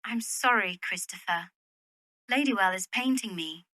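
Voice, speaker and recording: A woman's voice: mid-range voice, unhurried, sharp tone, no room sound, clean studio sound. A woman acting a poised young widowed lady in an amateur period radio drama, speaking expressively. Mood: defensive.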